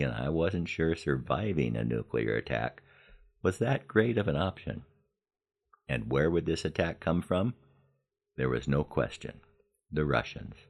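An American man in his seventies narrating a scripted personal podcast in a measured storytelling manner with deliberate emphasis. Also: very deep voice, darkish tone. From Los Angeles, United States. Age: 70s. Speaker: podcast host.

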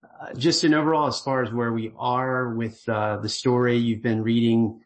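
A middle-aged man, the writer of a newsletter, gives a casual voicemail-style update, relaxed and earnest, rambling with fillers and restarts. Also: mid-range voice, moderate pace. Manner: grateful and earnest.

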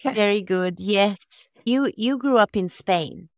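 A woman hosting a podcast interview, asking slow, thoughtful questions about writing children's books. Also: mid-range voice, no echo, clean studio sound. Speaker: podcast host, children's author.

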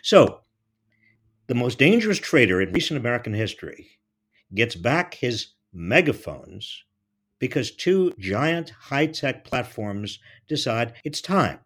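A man with a husky voice delivering scripted political commentary straight to listeners, in emphatic, deliberate phrasing. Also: medium pitch, very animated, a bit bright.

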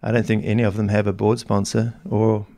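Man, podcast guest, gravelly low voice, hesitant with many restarts. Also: little pitch movement, fairly quick, warm tone, no echo. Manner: hesitant, full of restarts and "I mean". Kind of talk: casual chat.

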